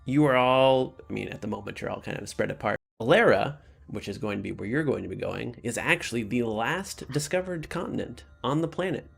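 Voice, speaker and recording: A man's voice: medium pitch, animated, fairly quick, gravelly, few pauses, fairly bright tone, husky, faint music behind. A man narrating a tabletop game as game master, easygoing and encouraging with his players.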